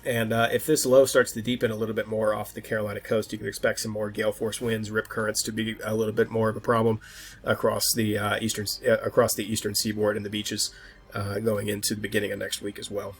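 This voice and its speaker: A man with a slightly stuffed-up voice presenting an informal weather forecast as host, often halting and restarting as he thinks aloud. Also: fairly low pitch, completely flat delivery, breathy edge, faint music behind.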